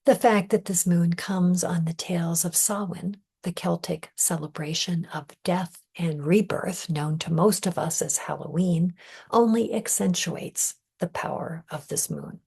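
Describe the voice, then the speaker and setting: Woman, meditation guide, very breathy and soft, slow measured delivery, lowish pitch, calm scripted narration. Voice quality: breathy, soft, often near whisper.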